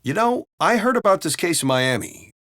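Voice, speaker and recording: A foul-mouthed, griping city cop with an American accent in a video game. His pitch sits a little high for a man and moves in lively swings. He talks in wry, casual banter, recorded dry and close to clean. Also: moderate pace, dry recording. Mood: uneasy.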